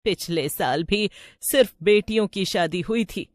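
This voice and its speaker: A woman's voice: mid-range voice, measured pace, no room sound, clean studio sound, natural voice. A woman voicing a cautious, superstitious older village woman in a storybook tale, anxious and colloquial. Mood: chatty.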